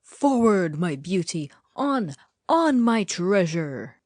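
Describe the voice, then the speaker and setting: An affectionate owner coaxing an animal, acted by a woman in a lowish voice. The calls are drawn out and lively, recorded dry for an audiobook tale. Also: slow pace, no echo, full character voice. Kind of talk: dramatized audiobook reading.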